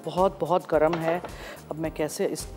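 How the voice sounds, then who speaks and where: A woman's voice: low voice, fairly even intonation, normal speed, over music. A middle-aged woman with a Pakistani accent hosts a TV cooking show from a studio kitchen, mixing Urdu with English as she cooks. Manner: chatty, switches freely into English.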